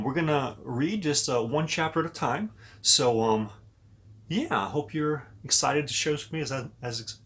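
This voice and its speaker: A man reading a children's story aloud as a pastor, pausing to talk directly and expressively to young listeners. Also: mid-range voice, a bit bright, a little hiss or hum.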